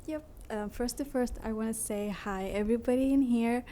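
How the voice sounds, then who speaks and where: Young woman, halting English, noisy room. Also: fairly high pitch, steady tone, dark tone. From Turkey. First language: Somali. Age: young adult. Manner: halting, non-fluent English. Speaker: high school student guest speaker. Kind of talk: informal self-introduction and answering questions at a public meeting. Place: meeting room at a school board session.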